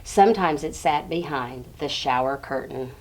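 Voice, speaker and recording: Lower-voiced woman reading a storybook aloud. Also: darkish tone, slight background noise, poor audio. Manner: pauses between short phrases, addresses young listeners. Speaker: storybook reader for children. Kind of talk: read-aloud storytelling for young children.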